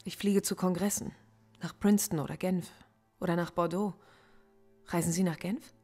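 An actress playing a philosophy professor under interrogation in a radio play, answering in short, cool, guarded replies. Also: female voice, lowish voice, fairly even intonation, moderate pace, darkish tone, soft background music, lightly acted. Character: composed female philosophy professor, stubborn and proud. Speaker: suspect in interrogation.

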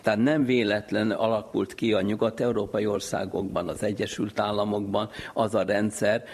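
Male expert for an interview, low and husky, measured and explanatory delivery. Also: a little mellow. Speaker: infectious disease specialist being interviewed.

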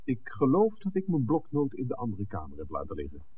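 An actor playing a level-headed, tactful writer in a 1960s radio drama, dry and politely understated. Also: male voice, mid-range voice, huge pitch swings, a little fast, distant mic, phone-quality sound. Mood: casual. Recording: thin, noisy old radio recording.

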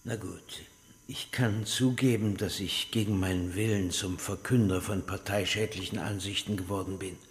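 An actor in a German radio drama playing a stubborn, earnest communist prisoner under interrogation. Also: male voice, deep voice, steady tone, normal speed. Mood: weary, conceding. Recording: roomy echo, long reverb.

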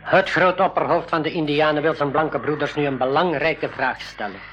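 A man's voice: on the high side, normal speed, a bit bright, a little hiss or hum, close to an everyday voice. An actor playing a solemn, ceremonious medicine man of a jungle tribe in a 1980s radio drama, speaking formally. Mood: grave, questioning. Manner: formal, ceremonious, stilted phrasing. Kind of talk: 1980s Dutch-language school radio drama acting. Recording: dull lo-fi radio recording with music.

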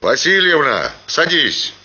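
A man's voice: fairly high pitch, very animated, slow, husky, pitched above the performer's own voice, heavily acted. A man voicing a grumbling, anxious peasant cart driver in a radio story reading, on a slightly dull recording. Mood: calling out. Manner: urgent shouts and sullen muttering.

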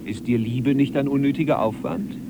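An actor playing a fretful, self-righteous middle-aged archivist in a German radio drama, arguing in a pedantic, declamatory way. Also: male voice, medium pitch, normal speed, vocal fry, lightly acted. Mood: bitter. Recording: noisy cassette copy of radio drama.

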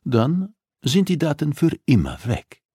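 A man's voice: a little high, big pitch swings, measured pace, warm tone, breathy edge, no room sound, clean studio sound, voice pushed up, exaggerated voice. A man narrating an audiobook, voicing a coolly persuasive, threatening female criminal who interrogates with insistence and condescension.

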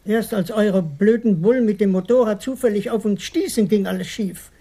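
A woman's voice: mid-range voice, normal speed, mellow, slightly rough. A woman playing a nervous, evasive small-time police informant in a German radio crime drama, hesitating and repeating words defensively. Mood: explaining, agitated.

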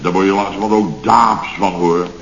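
An actor playing a blustering, aggrieved middle-aged boss of a building firm in a sarcastic, grumbling radio comedy style. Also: male voice, sits low, steady tone, brisk, vocal fry, a bit bright. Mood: indignant sarcasm. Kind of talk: Dutch radio comedy acting, circa 1970. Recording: dull, noisy, roomy old radio recording.

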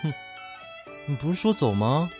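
Male actor as sulky young man, naive, pointed questions, lively intonation, audio drama, faint music. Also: a little high, normal speed. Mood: huffy. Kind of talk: live audio drama acting.

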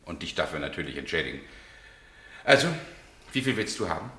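Sharp, forceful, resentful actor. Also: male voice, extremely low pitch, very animated, brisk, heavily acted. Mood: cool, determined. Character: resentful, proud male stage actor defying his partner. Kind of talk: German radio play acting. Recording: roomy, echoing studio sound.

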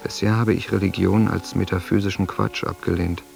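An actor in a radio drama playing a brooding grown son who reads his diary in a sombre, introspective, measured way. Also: low voice, steady tone, vocal fry, darkish tone, soft background music.

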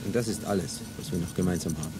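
A man's voice: deep voice, racing, natural voice. An actor playing a sardonic, fatalistic rebel man, speaking bluntly in a radio play. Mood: fatalistic, mocking. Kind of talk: German radio play dialogue. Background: music.

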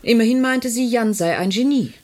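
An actress playing a concerned, sceptical, plain-spoken woman in a German radio play, with a husky, breathy voice. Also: fairly high pitch, normal speed, darkish tone, lightly acted.

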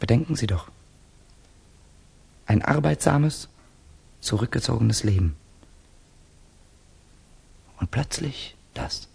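A brooding, bitter young Russian student. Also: male voice, mid-range voice, expressive, slow pace, creaky, pauses often, darkish tone, husky, heavily acted. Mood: impassioned, brooding. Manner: intense, brooding, rhetorical. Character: fearful, bitter Russian student loner, proud patriot. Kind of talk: 1960s German radio drama acting.

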